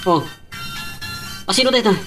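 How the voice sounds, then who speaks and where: A man's voice: normal speed, a bit bright, far from the mic, faint music behind, natural voice. A man acting a laid-back, playful young husband and son in an Ilocano audio drama, with a Filipino Ilocano accent. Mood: absorbed.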